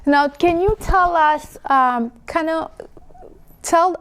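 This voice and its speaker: A woman's voice: very high voice, slow pace, fairly bright tone, low-quality recording. A woman hosting an interview, asking questions and affirming answers with short acknowledgements. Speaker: interview host.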